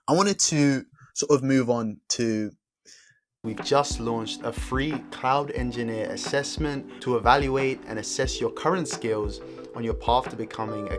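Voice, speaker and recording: A man hosts a casual podcast, relaxed and chatty, often laughing and repeating himself as he gathers his thoughts. His voice sits at a middle pitch. Faint music plays underneath. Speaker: podcast host.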